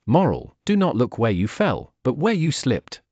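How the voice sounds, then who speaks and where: A man's voice: medium pitch, huge pitch swings, normal speed, a little mellow, no room sound, lo-fi sound. A man narrating a scripted children's story as a read-aloud.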